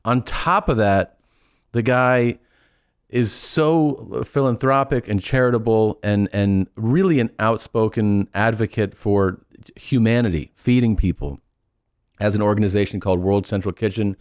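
A man's voice: lowish voice, unhurried, clear, resonant tone, dry recording. A man in his forties hosting a podcast interview, casual and joking, swearing freely, on a close studio microphone. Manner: joking, swears freely, puts on mock voices. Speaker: podcast host. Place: small studio. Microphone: studio boom mic, close.

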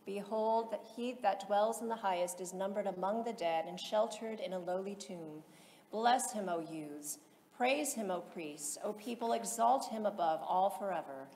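A woman reading solemn liturgical texts aloud in a measured, formal, chant-like delivery. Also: medium pitch. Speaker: liturgical reader in church. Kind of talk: solemn chanted recitation of psalms and hymns. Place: large reverberant interior. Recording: echoey reverberant hall, loud background noise.

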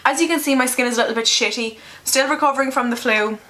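A young woman chatting casually through a makeup tutorial, candid and quick to swear, a little nasal from a cold, recorded by a camera in a small bedroom. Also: high-pitched, bright, forceful tone, a little hiss or hum. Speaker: beauty vlogger.

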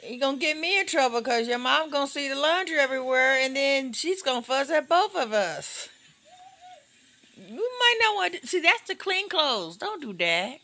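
Casting: American woman, middle-aged, bright animated voice, coaxing and scolding a little one in a casual home video. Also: high voice, normal speed. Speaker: caregiver filming a toddler at play. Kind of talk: casual home video chat with a small child. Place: cluttered carpeted living room.

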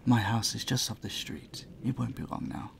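Guy doing a very creaky breathy vampire gentleman, soft and polite, doting, audio roleplay, bit of background noise. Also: lowish voice, moderate pace. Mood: inviting, reassuring. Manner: soft-spoken, polite, soothing, often near whispering. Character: gentle, courteous male vampire, charming and doting. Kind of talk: intimate audio roleplay spoken to the listener. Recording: faint ambient noise behind the voice.

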